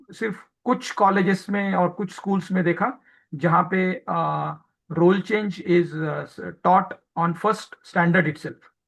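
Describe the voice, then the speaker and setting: A man's voice: high-pitched, gravelly, fairly bright tone, poor audio. A man giving a training lecture on hospital audits, switching between Hindi and English terms, with frequent hesitation fillers and everyday examples. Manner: explains through everyday home examples.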